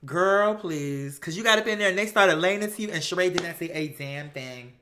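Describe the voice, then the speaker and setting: A woman's voice: fairly low pitch, fairly quick, slight background noise. An American woman hosting a recap show, giving casual, blunt, sassy opinions with plenty of slang and swearing. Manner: sassy, animated, slangy, acts out imagined dialogue; blunt, profane. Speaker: host of a reality TV commentary show.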